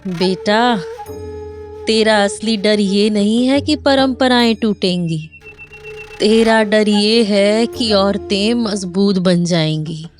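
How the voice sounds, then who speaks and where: A woman voicing a wise old village grandmother in a cartoon, measured and quietly firm as she counsels. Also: mid-range voice, faint music behind, close to an everyday voice. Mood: firm wisdom.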